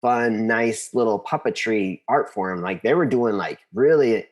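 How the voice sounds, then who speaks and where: Low-voiced man telling his story haltingly. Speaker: interview guest, composer. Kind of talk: conversational storytelling.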